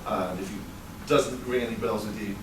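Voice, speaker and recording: A man's voice: on the low side, moderate pace, noisy background. A man in his thirties from England telling a personal story to a live audience, conversational and wry, with plenty of fillers. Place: performance venue before an audience. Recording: roomy live sound, mic far off.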